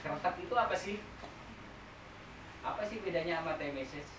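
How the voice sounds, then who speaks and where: Man, massage class trainer, quizzing students, slightly high voice, echoey room, heard from a distance. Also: moderate pace. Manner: quizzing the class, explaining step by step. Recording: echoey room, noisy, mic far off.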